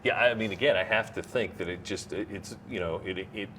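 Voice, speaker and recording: A man's voice: lowish voice, fairly quick, fairly bright tone, slight background noise. A man hosting an interview show, chatting in a friendly way and using lots of fillers. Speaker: host.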